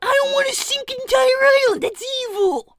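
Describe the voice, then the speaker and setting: A woman's voice: extremely high pitch, a little fast, no echo, clean studio sound. A woman voicing a brainy, sensitive young boy fox in an improvised cartoon livestream, earnest, hesitant and easily exasperated. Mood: exasperated, protesting. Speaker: character performer on a livestream. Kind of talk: improvised in-character conversation.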